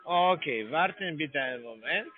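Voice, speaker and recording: Male phone scammer, broken halting German, slightly high voice, phone-line sound. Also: expressive, breathy edge, dry recording, soft background music. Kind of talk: phone call.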